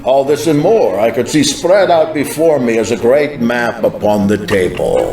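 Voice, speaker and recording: A man's voice: mid-range voice, animated, moderate pace. A man giving a solemn, dramatic first-person scripted narration of a religious vision. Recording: echoing, clear music behind. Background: music.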